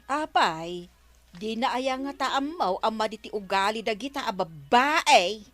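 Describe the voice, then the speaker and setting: A woman playing a grumbling, slow-witted village woman in an Ilocano radio comedy, complaining haltingly in an Ilocano accent. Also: on the high side, animated, a bit bright. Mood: indignant.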